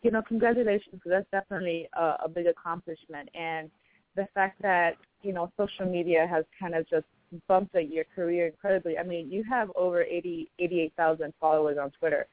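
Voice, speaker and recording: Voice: medium pitch, fairly even intonation, a little fast, no room sound. An interview host reading an intro and asking questions in a hesitant, filler-filled way. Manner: hesitant, many fillers and restarts. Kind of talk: casual interview. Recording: tinny dry phone line.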